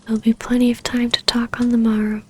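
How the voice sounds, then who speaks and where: Woman acting a soft, high-voiced, yearning vampire baroness. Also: flat delivery, fast talker, noisy background, lightly acted. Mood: soothing, devoted. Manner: soft, breathy, often dropping to a whisper. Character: tender, yearning vampire baroness, long-lost lover. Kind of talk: intimate ASMR roleplay acting.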